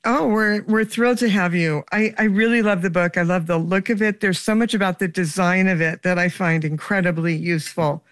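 A woman's voice: fairly low pitch, steady tone, a little fast, few pauses, no echo. Woman hosting an interview, dry studio sound. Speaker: radio show host, interviewer. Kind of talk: scripted introduction and conversational interviewing.

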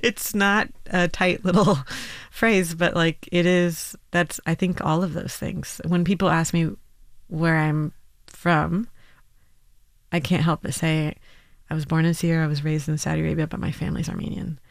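Woman in her thirties, musician guest, slight vocal fry, dry close sound, thoughtful and hesitant. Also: low voice, little pitch movement, normal speed, no echo. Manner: reflective, hedging with many restarts and "like". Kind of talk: radio interview.

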